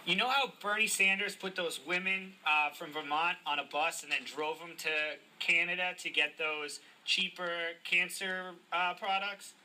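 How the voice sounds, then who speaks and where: A man's voice: sits high, measured pace, hardly any pauses, voice pushed up, heavily acted, poor audio. A man putting on a Massachusetts accent to play a brash, overly chummy prank caller who calls himself D-Spin. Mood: cocky. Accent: put-on Massachusetts. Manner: cocky, overly chummy, gets loud and indignant. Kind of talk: prank phone call. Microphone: recorded from a phone on speaker.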